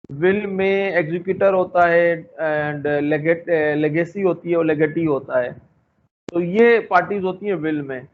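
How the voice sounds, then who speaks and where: The recording is narrow and phone-like, with a little noise underneath. A man with a rather high voice lectures in an explanatory style. He proceeds haltingly, padding his sentences with filler phrases and slipping between two languages. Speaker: law lecturer. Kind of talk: explanatory lecture mixing Hindi-Urdu and English. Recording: thin phone-like audio with faint noise.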